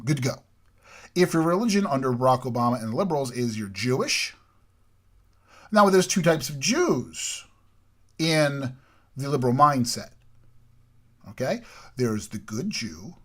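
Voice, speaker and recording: A man delivering an emphatic, opinionated political monologue, from the United States, repeating points and swinging between loud and quiet. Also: medium pitch, sing-song, unhurried, long pauses. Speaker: political commentary host.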